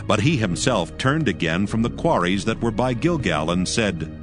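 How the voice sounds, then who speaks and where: A man with a lowish voice reads scripture as narrator. His delivery is solemn and measured, with soft music behind him. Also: expressive. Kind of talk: scripted audio Bible narration.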